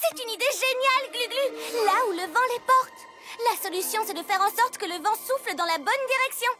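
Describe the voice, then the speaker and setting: A woman voicing a confident six-year-old girl superhero in a French cartoon dub, earnest and excitable. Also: very high voice, moderate pace. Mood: eager. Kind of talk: French-dubbed cartoon acting.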